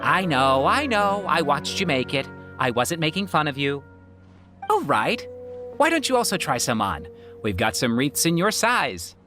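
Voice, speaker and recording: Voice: medium pitch, sing-song, measured pace. An actor voicing a playful, teasing young townsperson in a video game, over game music.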